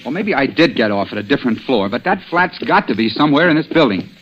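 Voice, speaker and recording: An actor playing an agitated, stubborn young nephew, talking emphatically in a vintage radio drama. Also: male voice, mid-range voice, fast, lightly acted. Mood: urgent. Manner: emphatic, insistent, excitable. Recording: dull old radio recording.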